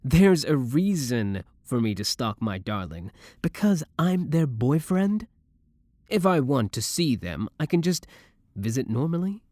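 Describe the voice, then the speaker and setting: Male actor as obsessive young boyfriend, very animated pitch, dry sound, doting, smug, theatrical, audio roleplay. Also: measured pace, no echo. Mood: insistent. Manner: theatrical, doting endearments, scornful of his rival. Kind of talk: scripted audio roleplay acting.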